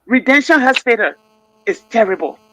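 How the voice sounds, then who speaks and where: A woman's voice: fairly high pitch, expressive, moderate pace, fairly bright tone, no room sound, poor audio. A woman with a Liberian accent calling in to a radio talk show, speaking emphatically and often stammering and repeating words. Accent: Liberian English. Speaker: caller.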